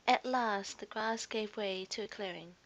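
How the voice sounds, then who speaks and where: A woman's voice: mid-range voice, unhurried. A woman narrating a scripted children's story expressively, as a storyteller to young listeners.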